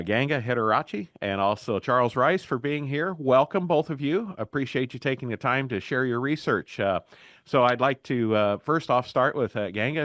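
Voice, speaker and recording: A man's voice: medium pitch, a bit bright, no echo, studio quality. A man hosting an interview podcast in an informal, conversational way. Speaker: podcast host.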